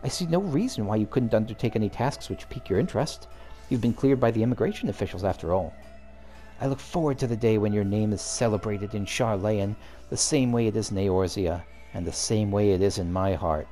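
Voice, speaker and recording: A man reading aloud the lines of a calm, learned young female scholar guide from a game, in a measured, formal way. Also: fairly low pitch, faint music behind, lightly acted.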